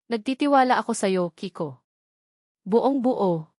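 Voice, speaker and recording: A woman's voice: a little high, animated, unhurried, darkish tone, dry recording, studio quality. A woman voicing an anxious young aunt in a love story, earnest and guarded. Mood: trusting. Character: anxious, doubtful young aunt hiding her fear.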